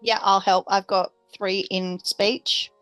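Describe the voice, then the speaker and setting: A woman's voice: mid-range voice, flat delivery, slow, fairly bright tone, low-quality recording. A woman playing a tabletop role-playing game, chatting and bantering casually. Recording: music behind the voice.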